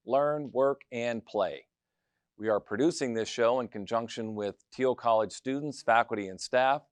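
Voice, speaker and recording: A man in his fifties hosting a studio interview show, mid-pitched and friendly. The sound is dry and close, and he chats easily, dropping in quick "okay"s and "yeah"s. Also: clear, resonant tone, no echo. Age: 50s. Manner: friendly, chatty with quick acknowledgments. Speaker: host. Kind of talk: scripted intro then casual interview. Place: college TV studio.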